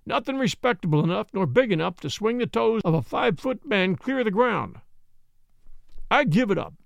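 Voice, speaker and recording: A man's voice: sits high, sing-song, normal speed, gravelly, no echo, exaggerated voice. A man voicing a blunt, weary frontier man in a storybook reading. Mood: glum. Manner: blunt, plain-spoken.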